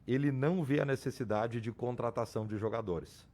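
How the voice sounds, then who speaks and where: A man with a hoarse voice, reporting club sports news on a radio show in an explanatory way. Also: medium pitch, fairly quick, darkish tone. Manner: explanatory, restarts and repeats names. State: hoarse, losing his voice. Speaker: sports commentator.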